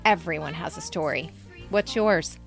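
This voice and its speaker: A woman's voice: low-pitched, moderate pace, faint music behind. A woman co-hosting a podcast, giving candid, emphatic and blunt critique in a conversational way. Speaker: podcast co-host and editor. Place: recording studio.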